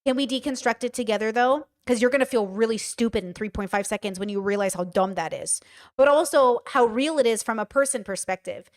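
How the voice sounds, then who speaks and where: A woman's voice: on the high side, brisk. A woman in her thirties streaming live, talking candidly to her chat with frequent swearing and rhetorical questions. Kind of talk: livestream commentary, reading chat.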